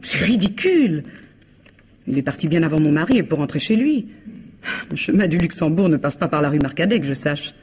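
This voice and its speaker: A widowed woman who runs a bar, guarded and tartly defiant. She speaks in a measured, evasive way with dry indignation. It has the style of a 1950s French radio mystery, over faint noise. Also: low voice, animated, darkish tone. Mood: defensive.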